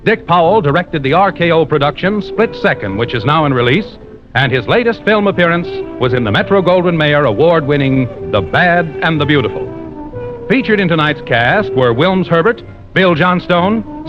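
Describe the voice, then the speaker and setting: Highly animated 1950s radio announcer man. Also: normal speed, over music. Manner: polished, emphatic salesman delivery. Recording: muffled old radio recording.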